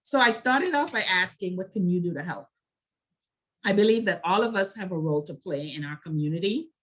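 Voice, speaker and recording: A woman speaking as a health advocate in a prepared talk, with deliberate, emphatic and measured phrasing. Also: lowish voice. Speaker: health advocacy organization leader and panel speaker.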